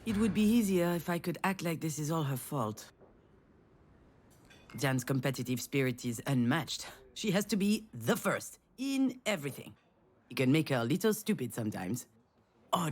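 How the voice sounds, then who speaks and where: An actress playing a wry, self-deprecating failed screenwriter, confiding her thoughts as a game's narrator in a sardonic way. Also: on the low side. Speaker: narrator and protagonist. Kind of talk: first-person inner monologue in a narrative game.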